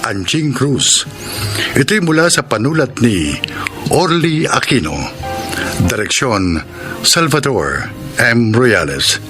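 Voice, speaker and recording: A man's voice: mid-range voice, big pitch swings, slow, long pauses, over music. A man with a Filipino accent reading radio drama credits as an announcer in a scripted, dramatic style.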